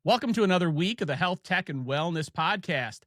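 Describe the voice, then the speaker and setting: A man's voice: high-pitched, lively intonation, fairly bright tone, no room sound, studio quality, soft background music. Chatty Ohio man hosting a podcast. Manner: chatty storyteller, halting with many fillers. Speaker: podcast host. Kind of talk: casual chat.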